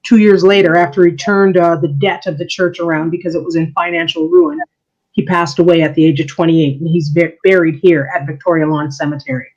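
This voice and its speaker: A woman's voice: on the low side, fairly even intonation, fairly quick, darkish tone. A woman historian explaining church history in an interview, earnest and laughing easily. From Canada. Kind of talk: casual interview answers. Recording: dull lo-fi sound.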